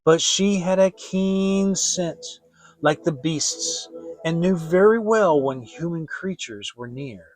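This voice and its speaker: A man giving an expressive, theatrical audiobook reading of a fairy tale as storyteller. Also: a little high, slow, dry recording, soft background music, low-quality recording.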